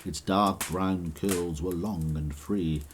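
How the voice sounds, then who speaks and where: A man narrating an audio drama in measured, vivid storytelling. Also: low voice, fairly even intonation, a little hiss or hum.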